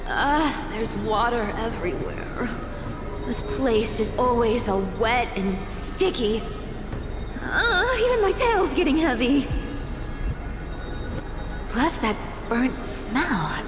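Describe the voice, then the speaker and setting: An actress voicing a playful, sly young woman thief with a tail in a video game, speaking in a teasing, unhurried way. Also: on the high side, animated, music in the background. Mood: grumbling. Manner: teasing, slow and breezy. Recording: echoey hall reverb with loud score.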